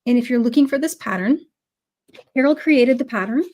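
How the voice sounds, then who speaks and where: Woman, slightly high pitch, nursing a cold; chatty live craft teacher. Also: brisk, a little mellow. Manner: chatty, many ums, greets viewers by name. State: has a cold. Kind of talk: casual live tutorial.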